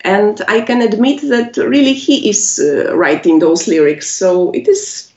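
A woman with a Polish accent, a native Polish speaker, answering interview questions in halting English with many pauses and laughs. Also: mid-range voice, darkish tone. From Poland. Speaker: musician guest. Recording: echoey, muffled lo-fi audio.

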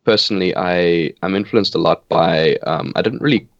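Man, 20s, Afrikaans native speaker from South Africa; very low voice, relaxed and laughing, dry muffled recording. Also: moderate pace, no room sound. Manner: relaxed, laughs often, hesitant restarts. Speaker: band bassist. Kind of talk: casual interview chat.

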